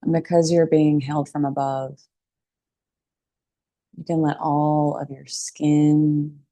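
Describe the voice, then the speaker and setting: A woman teaching a guided meditation in a calm, soothing, unhurried way with pauses between phrases. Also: sits low, no room sound.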